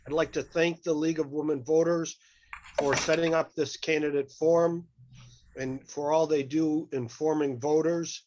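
A man's voice: fairly high pitch, little pitch movement, moderate pace, darkish tone, highs cut off, slight background noise. A man making a polite, formal opening statement as a political candidate. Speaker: city council candidate.

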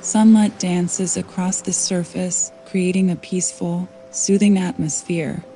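A woman guiding a sleep meditation in a soothing, unhurried way, leaving long pauses between scripted phrases. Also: on the low side, slight background noise, poor audio. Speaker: meditation guide. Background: soft calming background music.

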